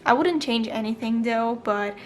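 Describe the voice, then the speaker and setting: A woman's voice: a little high, normal speed. A twenty-year-old woman chatting casually in a voice-over, with frequent ums and small self-corrections. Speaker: planner vlogger. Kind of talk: casual voice-over flip-through.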